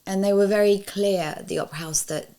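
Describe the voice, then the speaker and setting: A woman, a former pantomime performer, looking back wryly in a lively, candid way. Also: mid-range voice, fast. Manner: wry, animated storytelling. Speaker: former panto cast member. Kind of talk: candid interview.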